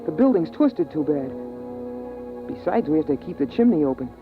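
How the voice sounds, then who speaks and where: A man's voice: a little high, big pitch swings, normal speed. A young male actor playing an earnest, wondering boy in a 1950s radio drama, with plain, homespun phrasing. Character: earnest, wondering young boy narrating a bleak frozen world. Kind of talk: 1950s radio drama acting and first-person narration. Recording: tinny vintage radio recording with music.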